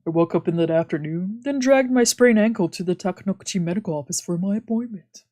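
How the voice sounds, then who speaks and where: A woman's voice: medium pitch, fast talker, dark tone. A woman streamer reading a visual novel aloud and chatting casually as she plays. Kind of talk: read-aloud narration with casual let's-play chat. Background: faint game music.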